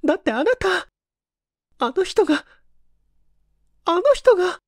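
A frightened wife chatters in a high, pleading voice. Her feminine speech swoops with insistence, as in a radio drama ghost tale. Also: lively intonation, measured pace, a bit bright, no echo, studio quality, full character voice. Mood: terrified, pleading.

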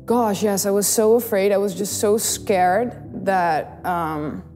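Young woman, Dutch accent, cheerful vlogger, roomy studio sound with soft music bed. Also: mid-range voice, slow. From Netherlands. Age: young adult. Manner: bubbly, giggly, animated storytelling. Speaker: YouTube singer and host. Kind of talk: casual chat to camera. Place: small studio in front of a blue backdrop. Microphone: studio condenser mic on the desk.

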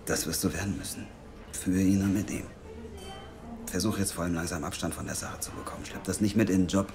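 A gruff boss, low voice, blunt and scolding, noisy background. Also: male voice, steady tone, fast talker. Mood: stern. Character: older man Gerrit, blunt team boss. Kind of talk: audio drama acting.

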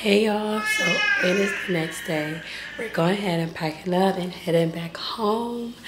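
A woman's voice: fairly low pitch, unhurried, heavy background noise. A young woman who has lost most of her voice, chatting casually to her phone camera at arm's length while vlogging her day. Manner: chatty, talks straight to the camera. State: hoarse, losing her voice. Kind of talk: casual vlog chat. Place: shops, restaurants and home, indoors and out. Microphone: handheld phone at arm's length.

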